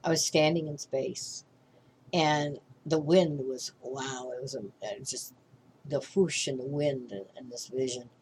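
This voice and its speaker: An older woman with a low, deep-set voice, a reverend teaching a spiritual class. She rambles loosely from thought to thought, restarts her sentences and keeps asking "okay?". A faint hiss sits under her voice. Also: steady tone, measured pace, darkish tone, poor audio. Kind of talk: informal spiritual class talk.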